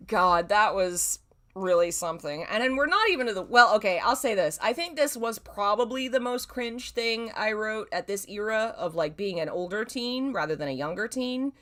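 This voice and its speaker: A woman's voice: medium pitch, expressive, moderate pace, fairly bright tone. A woman hosting a casual livestream, talking in a self-deprecating, dramatically exasperated way. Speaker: livestream host. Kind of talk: casual livestream chat, reading own old fan fiction.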